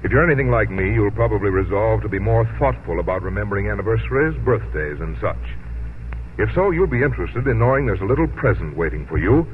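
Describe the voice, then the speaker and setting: A man's voice: on the low side, expressive, fast. An American man announcing on 1950s radio, reading a sponsor message in a folksy, friendly sales style. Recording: tinny, lightly noisy vintage radio broadcast.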